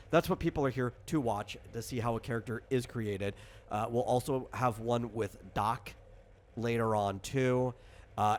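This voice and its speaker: A man hosting and explaining a game in casual chat, thinking aloud in a rambling way. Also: medium pitch. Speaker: game designer and host.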